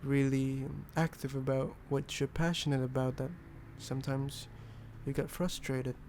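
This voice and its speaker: A young man from Indonesia with an altered British accent, talking softly and rambling in a boyfriend ASMR roleplay. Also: mid-range voice, little pitch movement, unhurried, a little hiss or hum. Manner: soft, rambling, self-deprecating.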